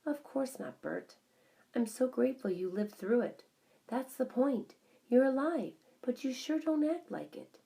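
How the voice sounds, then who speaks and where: Woman playing a firm, emphatic older wife, raised pitch. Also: expressive, a little slow, vocal fry, dark tone, heavily acted. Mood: earnest, exasperated. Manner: direct, emphatic. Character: firm, outspoken older wife, blunt but caring. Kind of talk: children's audiobook character dialogue.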